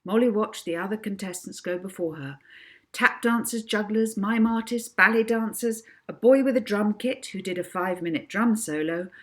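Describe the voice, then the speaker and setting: A woman reading a children's book aloud as an expressive narrator. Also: mid-range voice, moderate pace. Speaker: author reading own book.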